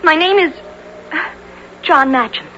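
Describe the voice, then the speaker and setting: An actress playing a frightened, slight young runaway lad, pleading hesitantly in archaic speech in a 1970s radio drama. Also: female voice, high voice, unhurried, bright, forceful tone. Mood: fearful. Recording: dull, slightly noisy old radio broadcast.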